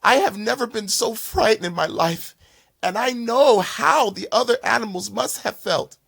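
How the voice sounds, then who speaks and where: Man as a high-pitched, humbled young bull. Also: animated, normal speed, creaky, a bit bright, breathy edge, exaggerated voice. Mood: remorseful. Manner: pleading, earnest. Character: young bully bull, humbled, frightened, pleading.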